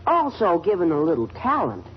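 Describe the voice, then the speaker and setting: A woman's voice: lowish voice, wildly swooping pitch, moderate pace. A woman playing a wisecracking, sarcastic kid brother with dry, teasing delivery in a 1940s radio comedy. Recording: dull, noisy, crowd-backed 1940s radio recording.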